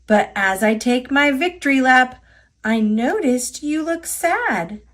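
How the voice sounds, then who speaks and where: A woman's voice: high voice, expressive, takes it slowly. An American woman voicing a cocky, playful little gingerbread man from a storybook, chanting boastful taunts in a sing-song manner.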